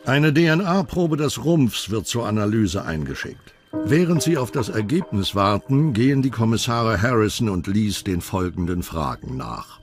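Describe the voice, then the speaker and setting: Deep-voiced man narrating true crime, lively pitch. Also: a little slow. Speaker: documentary narrator. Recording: music under the voice. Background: background music.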